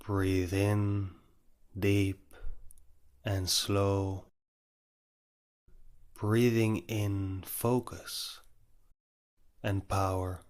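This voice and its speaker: A man leading a guided meditation in short, measured, instructive phrases with long pauses between them. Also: sits low, little pitch movement, darkish tone, smooth.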